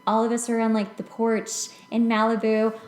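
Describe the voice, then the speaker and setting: A woman hosting a casual, chatty podcast, telling stories in an animated way with giggly asides. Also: a little high, normal speed. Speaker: podcast host. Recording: roomy, echoing podcast recording.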